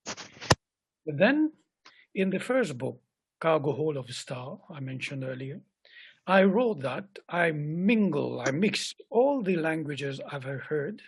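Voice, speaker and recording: A man from Mauritius, a poet talking at length on a video call, listing words slowly and with emphasis. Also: a little high, very animated, breathy edge. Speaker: poet guest. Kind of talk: reflective conversation.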